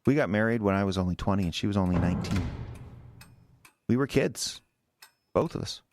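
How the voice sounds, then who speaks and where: Actor as halting, resentful husband, swooping pitch. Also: male voice, expressive, noisy background, close to an everyday voice. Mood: brooding, resentful. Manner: halting, stammering, rambling. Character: resentful, defensive henpecked husband confessing, rambling and wounded. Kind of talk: naturalistic audio drama acting.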